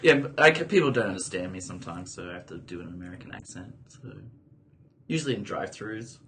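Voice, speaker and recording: A man's voice: deep voice, lively intonation, quick pace, a bit bright, a little hiss or hum. A man with an Australian accent chatting as a guest on a design podcast, with dry, joking delivery. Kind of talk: casual podcast chat.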